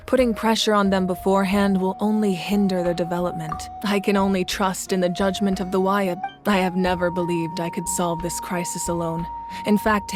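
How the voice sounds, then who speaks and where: A woman acts out a wise, noble ruler of a fire nation for a video game. She speaks with measured, earnest formality and steady reassurance at a middle pitch, with music playing behind her. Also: natural voice. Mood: calm, earnest. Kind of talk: scripted video game voice acting.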